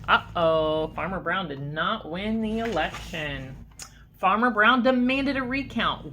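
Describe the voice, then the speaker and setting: A woman's voice: lowish voice, sharp tone, slight background noise. A woman reading a picture book aloud to children like a teacher, expressively and with questions to the listeners. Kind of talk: animated storybook read-aloud for children.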